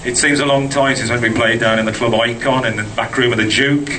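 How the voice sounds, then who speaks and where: A man's voice: medium pitch, fairly even intonation, brisk, far from the mic, background noise. A middle-aged man giving a friendly, jokey thank-you speech at an event. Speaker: project organiser.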